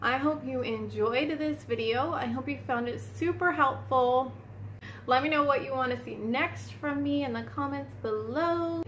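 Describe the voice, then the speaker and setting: Woman vlogger chatting thru her makeup routine, kinda high voice, a bit sniffly, faint room noise. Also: fairly bright tone. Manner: chatty, talks while applying makeup, addresses viewers as "you guys". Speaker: beauty vlogger. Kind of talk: casual makeup tutorial talk. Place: indoor room at home.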